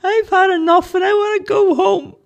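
A man voicing a timid, jittery male character who stammers in a very high, squeaky voice. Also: fairly even intonation, moderate pace, vocal fry. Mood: panicked. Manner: stammering, panicky.

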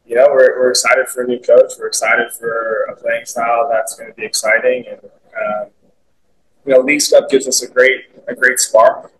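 Young man with a flat, even voice. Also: medium pitch, poor audio. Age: young adult. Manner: upbeat, filler-heavy, candid. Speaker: soccer player. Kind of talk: post-match press conference answers. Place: team media room, indoors.

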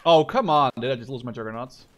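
A man's voice: medium pitch, quick pace. A man in his twenties giving casual, rambling gameplay commentary, often breaking off mid-sentence and swearing. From Canada or the Netherlands. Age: 20s. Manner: rambling, self-interrupting, sweary. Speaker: gaming video creator.

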